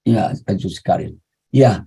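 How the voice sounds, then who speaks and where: Older man, Iraqi Arabic accent, husky mellow voice, halting speech with restarts, video call audio. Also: deep voice. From Iraq. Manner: halting, many restarts and "you know". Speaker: guest speaker. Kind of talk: webinar talk.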